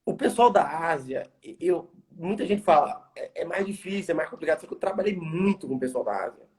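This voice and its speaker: A man with a Bahian accent, a guest speaking in a casual interview, relaxed and slangy, often restarting his sentences. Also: a little high, fairly quick. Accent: Bahian Brazilian Portuguese. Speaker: guest, environmental engineer.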